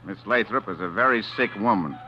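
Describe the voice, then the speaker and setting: An actor playing a gruff, surly butler in a 1940s radio mystery, blunt and curt. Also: male voice, on the low side, lively intonation, a little fast, sharp tone, faint music behind. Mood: surly, suspicious. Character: gruff, surly butler guarding his mistress. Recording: dull, slightly noisy 1940s radio recording.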